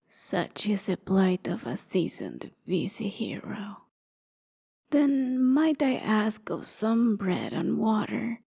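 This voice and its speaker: A woman's voice: mid-range voice, creaky, darkish tone, slightly rough, exaggerated voice. A woman voicing a feeble, pleading old woman traveler in a fantasy ASMR roleplay, with a hesitant way of talking.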